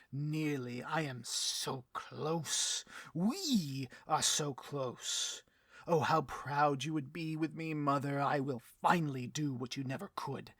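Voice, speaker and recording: A bloviating, vain male supervillain boss for a comedic audio roleplay. The voice is slightly high and husky, recorded close and dry. He speechifies with theatrical grandeur and bristles petulantly. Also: a bit bright, no room sound. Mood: gloating excitement.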